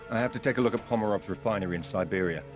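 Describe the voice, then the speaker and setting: Male actor as cool British spy, low-pitched, wry and businesslike, dramatic score loud underneath. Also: rapid-fire, music in the background, lightly acted. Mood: dry, wry. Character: cool, clipped British secret agent, dryly wry. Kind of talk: cinematic spy game acting.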